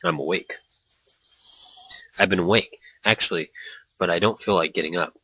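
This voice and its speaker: A man's voice: low voice, animated, gravelly, bright, forceful tone, husky, low-quality recording. A man reading a visual novel aloud as brooding first-person narration, slipping into brief character voices. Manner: brooding, introspective, quick shifts into small character voices. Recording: faint background music.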